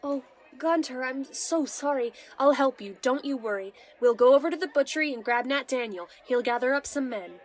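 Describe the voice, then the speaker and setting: Affectionate older barmaid-proprietor acted by a woman, chatty and teasing, fairly high pitch, fantasy audio drama. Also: moderate pace, few pauses, a faint crowd, natural voice. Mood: concerned. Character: motherly, teasing aged female tavern keeper.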